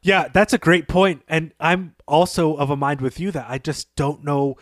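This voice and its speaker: A man co-hosting a podcast, talking through a story in a thoughtful, analytical way with frequent pauses. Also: fairly high pitch, level tone, fairly bright tone, dry recording, studio quality. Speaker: podcast co-host. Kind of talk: literary discussion podcast.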